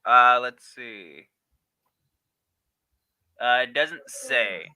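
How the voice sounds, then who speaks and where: A man co-hosting a podcast in casual chat, speaking in a halting way with sentences that trail off. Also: medium pitch, sharp tone, clear, resonant tone, no echo. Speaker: podcast co-host.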